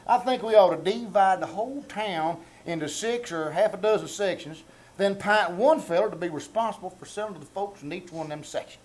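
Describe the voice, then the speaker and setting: A man's voice: high-pitched, brisk, lightly acted, poor audio. A man playing a self-important, scheming rural old-timer with a Southern American accent, folksy and mock-formal, in a radio comedy reenactment. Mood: pompous. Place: small-town theater stage before an audience. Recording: live theater recording with background noise.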